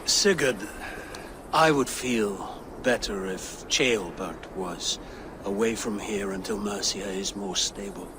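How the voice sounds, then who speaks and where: A man's voice: fairly low pitch, slow, background noise. A middle-aged actor playing a solemn new king and father speaking formally. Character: newly crowned king, grave father.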